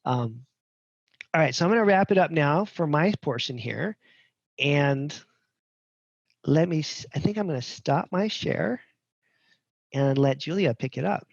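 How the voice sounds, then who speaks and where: A man's voice: a little high, animated, measured pace, gravelly, long pauses, dry recording. Rambling man in his fifties, dull audio. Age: 50s. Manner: rambling, self-deprecating. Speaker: functional medicine instructor. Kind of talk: casual webinar talk. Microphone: video call.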